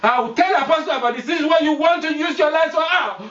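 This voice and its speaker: A woman putting on a shrill scolding Nigerian mother. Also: fast, a bit bright, roomy sound, highs cut off.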